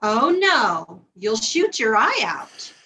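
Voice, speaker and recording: A woman's voice: fairly high pitch, big pitch swings, slow pace, sharp tone, full character voice, rough recording. A woman playing a practical, protective, fretting mother in a readers theatre comedy. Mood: cautioning.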